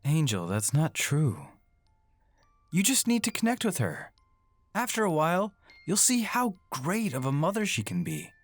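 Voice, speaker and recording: A woman playing a gentle, earnest father in a horror radio drama. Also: deep voice, wildly swooping pitch, measured pace, husky, no room sound, soft background music, exaggerated voice. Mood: tender, weary. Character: gentle, protective father pleading with his daughter.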